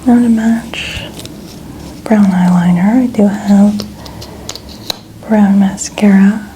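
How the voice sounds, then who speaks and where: A woman speaks in a breathy, unvoiced whisper at a medium pitch, in the style of ASMR. She narrates small steps gently and without hurry. There is clear noise behind her voice. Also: steady tone, poor audio. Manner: gentle, unhurried, softly narrating each step. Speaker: ASMR content creator.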